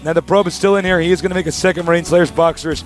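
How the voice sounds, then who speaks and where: A man's voice: on the high side, fairly even intonation, very fast, soft background music. A young man doing live esports play-by-play in a packed studio, hyped, joking and announcer-like. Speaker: esports commentator.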